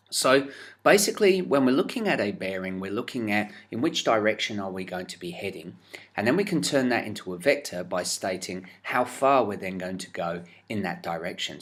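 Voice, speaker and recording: A man with a slightly low voice teaches a science lesson for students. He explains patiently and step by step, often pausing on fillers as he works through each idea. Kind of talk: explanatory classroom video lesson.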